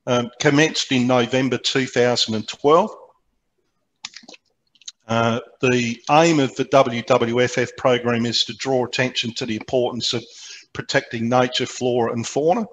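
Aussie guy doing a club slide talk on a video call, mid pitch, explains stuff informally, lots of ums. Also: a bit bright, slight background noise, poor audio. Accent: Australian. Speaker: presenter, amateur radio programme coordinator. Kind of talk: slide presentation to a club meeting. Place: remote video meeting, speaking from indoors.

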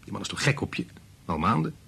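A man's voice: on the low side, sing-song, quick pace, gravelly, pushed and bright, husky, exaggerated voice. An actor playing a brilliant, impatient scientist father in a radio play, talking in a cynical, condescending way. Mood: cynical, dismissive.

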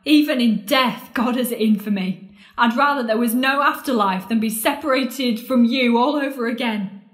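A woman's voice: fairly high pitch, normal speed, lightly acted. An actress playing a bitter, scornful woman confronting her lost love in an audio drama, sardonic and accusing. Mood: angry, bitter. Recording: roomy, echoing space.

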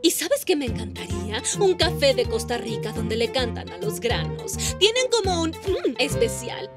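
An actress voicing a breezy, demanding celebrity show host in a cartoon dub. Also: female voice, a little high, big pitch swings, normal speed. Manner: breezy, fussy, self-assured. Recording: cartoon dub with loud music.

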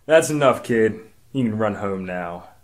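A man's voice: on the low side, expressive, a little slow, a little hiss or hum. Man playing a stern, insistent detective. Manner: insistent, pompous, formal interrogation. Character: stern, suspicious male detective grilling small children. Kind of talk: comic radio drama dialogue.

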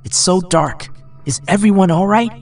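A man's voice: sits high, moderate pace, faint music behind, voice pushed up. A man voicing an earnest, caring boy hero in a storybook. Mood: concerned.

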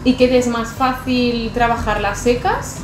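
A woman's voice: a little high, steady tone, unhurried. A young woman with a Spain Spanish accent, chatting casually through a makeup tutorial as a beauty vlogger. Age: young adult. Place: small bright bedroom, indoors. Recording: echoey room with background music.

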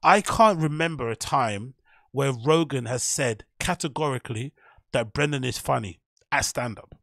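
A man talks through his theory in a loose, meandering way, often correcting himself as he goes. His voice has a gravelly edge. The sound is dry and close, with no room around it. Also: mid-range voice, normal speed, a bit bright, no echo. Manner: rambling, self-correcting, opinionated. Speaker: podcast host. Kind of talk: casual commentary monologue.

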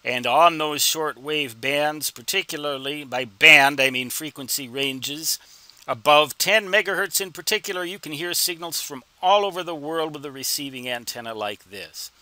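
A man explaining things as an instructor in an informal tutorial, with deliberate phrasing and wry, folksy humor. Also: on the high side, sharp tone, no echo. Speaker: instructor answering reader questions.

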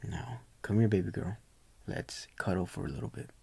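A young man playing a tender, doting boyfriend in a soft ASMR roleplay, gentle, coaxing and reassuring, with a breathy voice often near a whisper. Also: low voice, fairly even intonation, moderate pace, exaggerated voice. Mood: tender, soothing.

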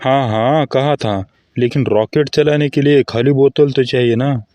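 A man's voice: mid-range voice, steady tone, a little slow, background noise, natural voice. A man playing a cheeky husband in a cartoon comedy skit.

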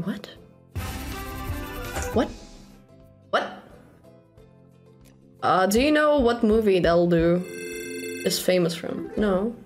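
A young woman livestreaming a slot game, chatting casually in short repeated phrases and offhand asides. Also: mid-range voice. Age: young adult. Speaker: slot streamer.

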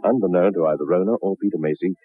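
An actor playing a wry, ominous narrator of a 1960s radio horror story, theatrical and sardonic. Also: male voice, deep voice, rapid-fire, husky, dry recording. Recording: dull old radio recording. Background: music.

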